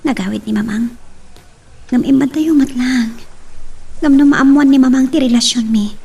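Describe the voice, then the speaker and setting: An actress playing a sad, hurt young daughter in a radio drama, with a soft husky voice. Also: female voice, high voice, normal speed, far from the mic. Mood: sorrowful.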